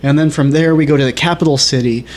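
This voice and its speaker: A man's voice: on the high side, steady tone, fast, a little mellow. A man co-hosting a casual movie podcast, explaining things step by step in a wry way among friends. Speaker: podcast co-host. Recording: faint background noise.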